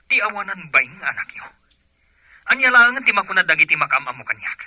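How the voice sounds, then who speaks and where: An actor playing a stern young husband, scolding and indignant, in an Ilocano radio drama. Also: male voice, high voice, sing-song, a little fast, pushed and bright, dry recording, exaggerated voice. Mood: stern, annoyed.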